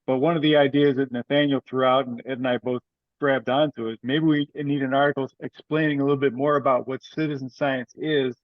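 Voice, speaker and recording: A man's voice: medium pitch, fairly even intonation, brisk, no room sound. A man speaking informally and matter-of-factly as he organizes things in a meeting. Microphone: group teleconference call. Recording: dry, dull lo-fi conference call audio.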